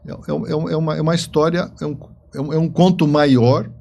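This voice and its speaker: A man's voice: fairly high pitch, fairly even intonation, a little fast, vocal fry, darkish tone, muffled recording. A man speaking as an interview guest, telling stories conversationally and repeating phrases for emphasis. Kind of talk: conversational storytelling.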